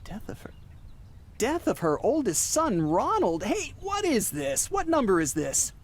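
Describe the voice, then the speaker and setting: An actor playing a haunted, frightened male traveller, telling his ordeal in an intense, halting radio play style with repeated words. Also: extremely high pitch, wildly swooping pitch, slight background noise, full character voice. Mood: bewildered, panicked. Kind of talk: dramatic first-person radio play narration. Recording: music under the voice. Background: dramatic music.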